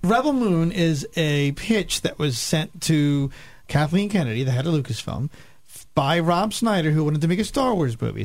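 Wry podcast guy, voice a bit on the high side, blunt and teasing, just chatting. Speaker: podcast panelist. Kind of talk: casual chat.

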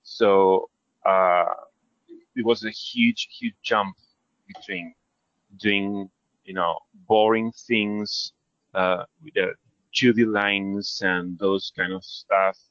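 An Argentine man, native in Spanish, talks in a fairly low voice with an Argentine accent. He is a casual, self-effacing interview guest who hesitates as he searches for words. The sound is dry and slightly dull. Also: fairly bright tone, no room sound. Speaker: interview guest, 3D character artist. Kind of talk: casual conversational storytelling.

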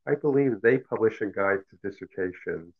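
A middle-aged man, a professor whose first language is Cantonese, answering interview questions in a measured, reflective way with many fillers and restarts. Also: on the low side, background noise. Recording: phone-like narrow sound.

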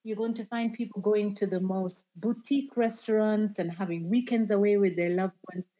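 A woman's voice: medium pitch, fairly even intonation, moderate pace, dark tone, muffled recording. A woman guest in a casual podcast interview, talking animatedly and laughing easily.

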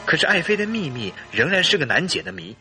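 An actor playing a suspicious, anguished husband in a radio drama, with a husky, earnest and theatrical delivery. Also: male voice, fairly high pitch, sing-song, quick pace, pushed and bright, soft background music, full character voice, poor audio. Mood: troubled. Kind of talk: Mandarin radio drama acting and storytelling.

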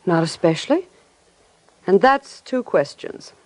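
A woman's voice: lowish voice, expressive, unhurried. An actress playing a cool, reluctant young woman in an old radio detective drama. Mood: irritated. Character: guarded, curt young woman, gangster's girlfriend.

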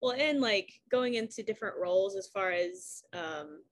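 A woman with a dark, rich tone, talking casually and modestly as an interviewed singer, often saying "like". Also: mid-range voice, moderate pace. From Southeast Texas, US. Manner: informal, self-deprecating, frequent "like". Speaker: singer and pianist in a sister duo.

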